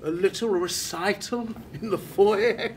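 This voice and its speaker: Older male writer near death, prickly and pained, slow drawn-out lines for a radio drama, played by a man. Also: sits high, a little hiss or hum. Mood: guarded. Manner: bitter, guarded, slow and deliberate. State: in pain. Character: dying elderly male TV writer, prickly and proud. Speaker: dying TV writer. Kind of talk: radio play acting.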